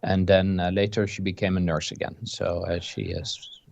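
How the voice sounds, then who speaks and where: A Dutch man around fifty with a Dutch accent, the guest of an interview, telling his story calmly over a video call. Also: sits low, moderate pace, vocal fry, muffled recording. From Netherlands. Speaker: interview guest, game designer.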